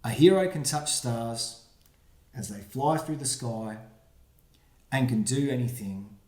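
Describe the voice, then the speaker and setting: A man, the book's author, reading his rhyming picture book aloud for children, with quiet asides about the pictures. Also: mid-range voice, takes it slowly. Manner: friendly, reads rhyming verse with quiet asides. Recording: roomy echo.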